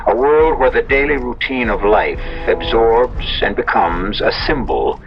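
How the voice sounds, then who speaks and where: A man hosting a radio mystery series, reading dramatic narration in a solemn, theatrical, deliberate way. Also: medium pitch, lively intonation, creaky, faint music behind. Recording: dull vintage radio recording.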